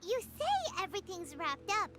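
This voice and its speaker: Tiny childlike game fairy, squeaky, extremely high, wildly swooping intonation, excitable, expressive. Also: female voice, moderate pace, full character voice. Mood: concerned, insistent. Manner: exclamatory, expressive. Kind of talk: English video game voice acting.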